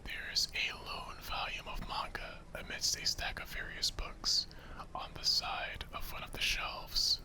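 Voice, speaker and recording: A man reads visual novel narration as ASMR. He keeps to a breathy whisper and takes his time, with a crisp, bright edge to the sound. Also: a little slow.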